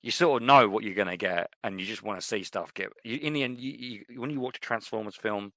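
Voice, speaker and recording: A man's voice: fairly low pitch, a little fast, bright, forceful tone, no echo. A man co-hosting a podcast in casual chat, opinionated, with many restarts and fillers. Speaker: podcast co-host.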